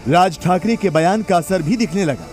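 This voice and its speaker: A man's voice: high voice, moderate pace, darkish tone, faint music behind. A man reading scripted news narration as a reporter, with a dramatic, emphatic delivery.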